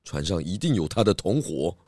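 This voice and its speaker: A man playing a calm, shrewd pig detective in a children's audio drama, deliberate and authoritative. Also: deep voice. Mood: serious.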